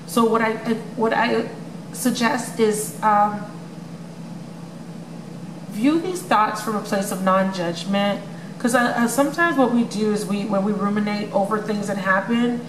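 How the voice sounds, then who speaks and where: A woman's voice: medium pitch, measured pace. A woman giving a casual tarot love reading to her viewers, chatty and full of ums, with a voice that may crack from overuse. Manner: chatty, many ums, addresses viewers as you guys. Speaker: tarot reader. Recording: echoey room with noisy background.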